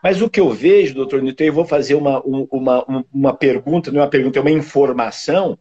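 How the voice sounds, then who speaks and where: A man's voice: mid-range voice, fast, warm tone. An older man from the São Paulo countryside with a caipira Brazilian accent, hosting a casual fitness live stream and chatting about viewers' towns. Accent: São Paulo countryside caipira Brazilian Portuguese. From countryside of São Paulo state, Brazil. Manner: chatty, reads out viewers' towns, many tag questions. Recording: muffled husky online call audio.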